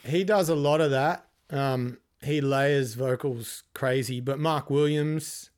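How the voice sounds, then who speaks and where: A man's voice: mid-range voice, slow pace, a little mellow, smooth. A man hosting a casual music talk, asking questions and chatting about favorite tracks. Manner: conversational, prompting questions. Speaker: podcast host.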